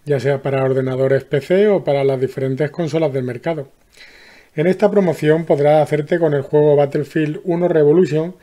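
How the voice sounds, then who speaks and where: A man's voice: on the high side, warm tone. A man with an accent from Spain narrating scripted news as the host of a video game channel. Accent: Spanish from Spain.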